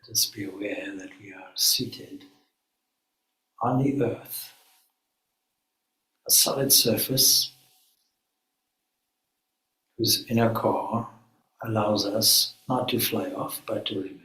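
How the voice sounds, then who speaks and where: A man leading a guided meditation as a spiritual teacher, speaking calmly in measured phrases with frequent pauses. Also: lowish voice. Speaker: swami, guided meditation leader. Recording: echoey room.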